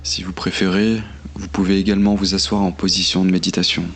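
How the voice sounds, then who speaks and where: A man leading a guided meditation in a calm, soothing, measured delivery with long pauses. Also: sits low. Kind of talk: slow guided meditation narration. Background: meditation music.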